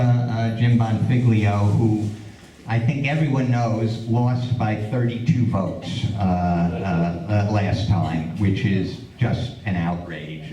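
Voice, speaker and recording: A man introducing speakers at a club meeting, halting with many fillers and restarts. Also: on the low side, mellow, muffled recording, a little hiss or hum. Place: community meeting room. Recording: echoey room, far from the mic.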